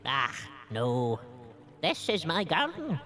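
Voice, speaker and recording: Musing old cave hermit, swooping voice. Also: female voice, low-pitched, huge pitch swings, slow, a bit bright, full character voice. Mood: kindly, wise. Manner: musing, hesitant, humming to self. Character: gentle old hooded mushroom gatherer in a cave, male. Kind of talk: family audio drama acting.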